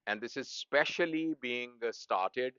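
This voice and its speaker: Pakistani guy, urdu native, teaching like a professor, smooth clear voice, kinda muffled lo-fi audio. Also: medium pitch, a little slow, bright, forceful tone, no echo. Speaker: medical professor presenting an educational channel. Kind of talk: explanatory lecture in simple terms.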